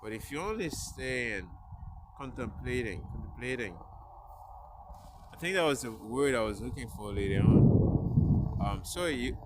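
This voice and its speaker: Man, non-native English, slow delivery, halting and earnest, loud vehicle noise behind. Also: mid-range voice, animated. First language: not English. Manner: halting, restarts words, earnest. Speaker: vlogger. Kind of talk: motivational talk to camera.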